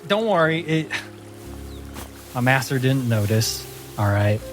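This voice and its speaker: A man's voice: medium pitch, very animated, slow pace, music in the background. A man voicing a young wolf-eared beastkin man in a fantasy game, playfully affectionate, with a casual, reassuring manner. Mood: bashful.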